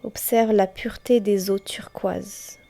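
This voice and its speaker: Female meditation guide: mellow, breathy and gentle, very unhurried delivery, soft chimes and music underneath. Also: medium pitch, fairly even intonation. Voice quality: soft, breathy, often near a whisper. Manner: gentle, unhurried, with long pauses between short phrases. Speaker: guided meditation teacher and host. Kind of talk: guided yoga nidra meditation, slow and soothing.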